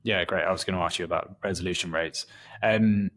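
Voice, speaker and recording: A man's voice: low-pitched, steady tone, fairly quick, fairly bright tone. A man interviewing a guest in a conversational style, setting up his questions as he goes.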